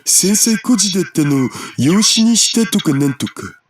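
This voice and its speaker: A man's voice: high-pitched, expressive, quick pace, slight background noise. A male actor voicing an evasive, easygoing young friend in a Japanese visual novel, in a hesitant, guarded drawl. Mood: matter-of-fact.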